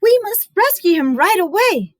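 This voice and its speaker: A woman's voice: very high voice, sing-song, normal speed, dry recording, clean studio sound, voice pushed up. A woman voicing a worried, commanding storybook mother, urgently giving instructions to her boys. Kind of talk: storybook read-aloud character voice.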